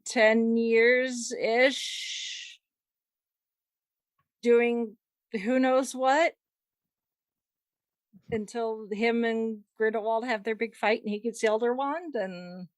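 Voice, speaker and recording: A woman co-hosting a podcast, talking casually in a halting way and letting sentences trail off. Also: fairly high pitch, steady tone, no room sound. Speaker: podcast co-host. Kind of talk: casual chat, plot recap.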